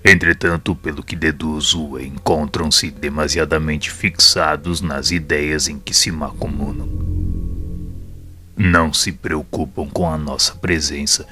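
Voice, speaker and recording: Very deep, bright-edged Brazilian spirit mentor, acted by a man. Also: steady tone, unhurried, exaggerated voice. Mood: patient, firm. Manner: formal, instructive, assured. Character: calm authoritative male spirit mentor explaining patiently. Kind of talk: audio drama dialogue. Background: faint music.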